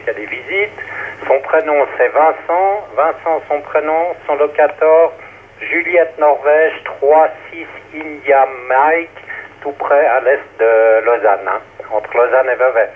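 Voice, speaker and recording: Male ham operator, somewhat high voice, relaying details through thin, faintly noisy radio. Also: phone-quality sound.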